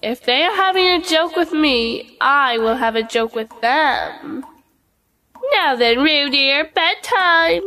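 High, bright motherly kangaroo played by a woman. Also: lively intonation, slow, heavily acted. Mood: playfully firm. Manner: fussing, singsong, mock-innocent. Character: brisk, motherly kangaroo, playfully stern. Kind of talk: children's storybook audio drama.

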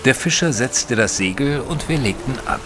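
A man playing a reserved Victorian gentleman, a detective's companion, narrating an audio drama in a measured, formal way. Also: mid-range voice, lively intonation, close to an everyday voice. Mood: measured, descriptive.

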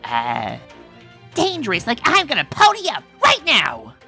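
Woman acting a boastful young cartoon pony athlete, female, very swoopy intonation, dramatic, over clear music. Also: a little high, huge pitch swings, measured pace, bright, forceful tone, heavily acted. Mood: determined, boastful. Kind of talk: animated comic dub acting.

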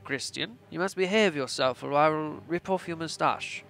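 A man's voice: a little high, normal speed, gravelly, fairly bright tone. A man in his twenties playfully commentating on a strategy game in a mock-imperious, theatrical way, putting on an Austrian accent. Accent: put-on Austrian. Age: 20s. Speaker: gaming channel host.

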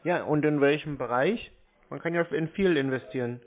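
A man's voice: a little high, normal speed. A man talking bluntly and insistently in an argumentative phone conversation, challenging the other side. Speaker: scam baiter taking a phone call. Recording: tinny narrow-band phone line.

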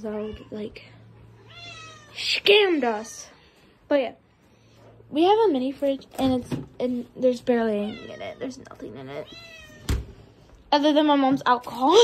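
Young woman vlogger, very high lively voice, unhurried, giggly, coos at cats; handheld phone in a barn, faint noise. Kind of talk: casual barn tour vlog. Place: indoor barn room with cats. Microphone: phone held in hand.

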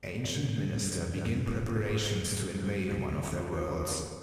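A man voicing a deep, echoing villain overlord. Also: darkish tone, lightly acted. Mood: commanding. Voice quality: deep, booming. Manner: slow and commanding. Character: menacing ancient male villain overlord, cold, commanding. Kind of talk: fan dub voice acting. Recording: heavy echoing hall reverb.

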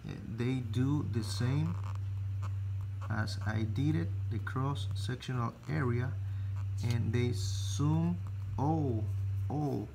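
Slow, gravelly man with a Spanish accent. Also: mid-range voice, mellow, a little hiss or hum, poor audio. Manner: halting, restarts phrases, searches for English words. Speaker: electrical teacher. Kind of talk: slow step-by-step tutorial.

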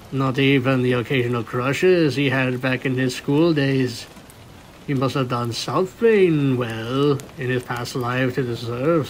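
A man's voice: medium pitch, rough recording. A man narrating a cartoon fan fiction romance, reading it out like a story. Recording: faint noise behind the voice.

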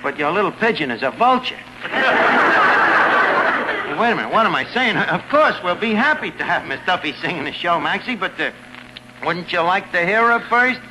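A man's voice: high voice, sing-song, normal speed, long pauses. An actor playing a scheming, slangy New tavern manager with wisecracking showman patter in a 1950s radio comedy. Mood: ingratiating. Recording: noisy old radio broadcast, loud studio audience.

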